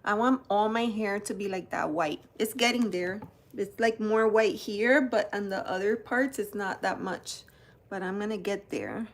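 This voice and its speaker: A woman from New Jersey, hosting a live-stream card unboxing and greeting viewers by name. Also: mid-range voice. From New Jersey, United States. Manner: chatty, greets viewers by name while showing cards; slow, unhurried delivery. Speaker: tarot channel host.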